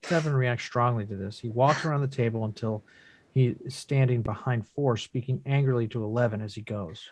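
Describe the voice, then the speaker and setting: A man's voice: mid-range voice, fairly quick, darkish tone, no room sound. A man reading out stage directions as the narrator of a scripted drama table read.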